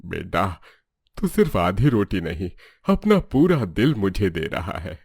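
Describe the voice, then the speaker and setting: A man's voice: low-pitched, sing-song, creaky, a little mellow, husky, dry recording, studio quality, exaggerated voice. A man playing a humble, grateful old beggar in a storybook drama, speaking with grandfatherly tenderness. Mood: grateful, moved. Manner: slow, pleading, blessing. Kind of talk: storybook audio drama acting.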